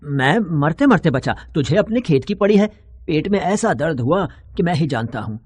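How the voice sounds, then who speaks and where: A woman's voice: deep voice, animated, normal speed, a little mellow, husky, no room sound, pitched below the performer's own voice. A woman voicing a poor, weary male farmer in a story, stubborn and self-excusing, muttering as if to himself. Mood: defensive.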